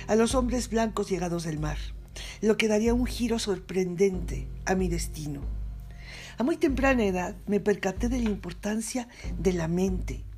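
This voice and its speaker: A woman's voice: medium pitch, warm tone, lightly acted. A woman reading as a noble-born interpreter. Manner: measured first-person reading.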